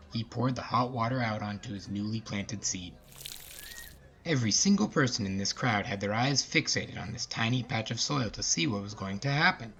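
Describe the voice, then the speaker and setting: A man's voice: lowish voice, a bit bright. A man hosting a podcast and telling a scripted story in a casual narrator's style. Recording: slightly muffled, music behind. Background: music.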